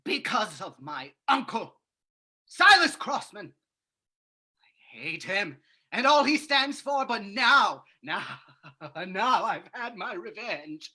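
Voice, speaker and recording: Lady doing a crazy vengeful radio villain guy, bright forceful voice, slow and drawn out. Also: medium pitch, sing-song, slightly rough, heavily acted. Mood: bitter, vengeful. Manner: theatrical, menacing, drawn-out delivery. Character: mad vengeful male villain, gloating and murderous. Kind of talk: old-time radio drama reading.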